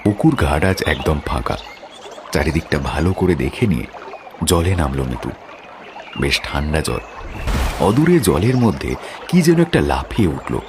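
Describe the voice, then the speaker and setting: A man's voice: rumbling low, big pitch swings, a little slow, pauses often, music in the background. A man narrating a scripted horror story.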